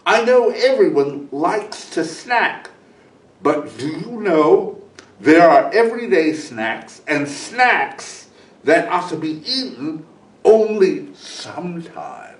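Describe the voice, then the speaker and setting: Man as a kindly high-voiced teacher eagle. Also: big pitch swings, slow pace, reverberant, recorded from a distance. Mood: earnest. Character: great bald eagle, kindly, earnest teacher of children.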